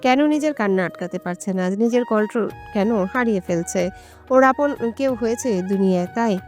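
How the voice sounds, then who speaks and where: A woman's voice: medium pitch, a little fast, a little mellow, soft background music. A woman storyteller reading a romantic story as scripted, dramatic narration.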